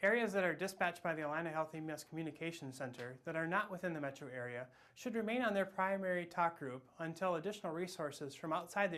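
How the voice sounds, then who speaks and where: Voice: mid-range voice, fairly bright tone. An American speaker delivering a scripted instructional presentation as a trainer.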